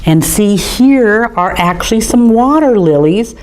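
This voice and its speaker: A woman's voice: mid-range voice, lively intonation, unhurried, a little mellow, rough recording. A woman in an art museum gallery, reading a picture book aloud to young children in a chatty, friendly way and talking straight to them. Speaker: museum storytime reader.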